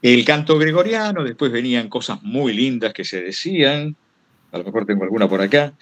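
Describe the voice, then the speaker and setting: A man's voice: mid-range voice, expressive, a little fast, fairly bright tone. A man with a Rioplatense Argentine accent, telling anecdotes casually in a digressive way and acting out what people said. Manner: digressive, acts out quoted remarks. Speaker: guest storyteller giving a talk.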